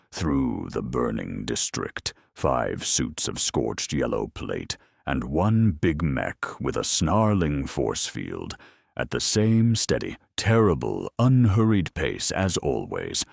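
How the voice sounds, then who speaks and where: An extremely low-voiced man delivers dramatic scripted narration of a grim battle tale. His voice is thick with gravel and creak, and he takes his time, pausing heavily between phrases in a dry, close recording. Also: dry recording. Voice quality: very deep gravelly growl. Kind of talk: dramatic scripted narration of a grimdark war story.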